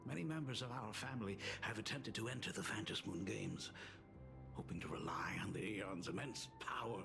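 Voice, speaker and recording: A solemn family elder with a low, gravelly voice, telling old history in a measured, earnest way. Game music sounds clearly behind him. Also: male voice. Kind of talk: game voice acting.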